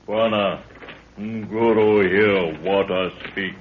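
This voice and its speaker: Low slow african guide, wary, broken english, tinny old radio drama with hiss. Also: male voice, steady tone. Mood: watchful. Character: wary African native guide, terse and superstitious. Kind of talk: 1930s radio drama acting. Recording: thin, band-limited, hissy 1930s radio recording.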